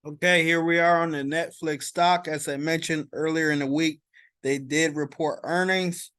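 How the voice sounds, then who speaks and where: A man giving informal market commentary as a stock vlogger, explaining in a halting way and checking in as he goes. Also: on the high side, fairly even intonation, fairly bright tone, clean tone, no echo, studio quality.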